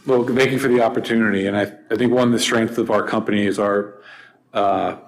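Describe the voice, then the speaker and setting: Guy from a development company talking to the board, fairly low voice, sure of himself. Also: steady tone, fairly quick. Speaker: developer representative. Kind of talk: remarks at a public meeting.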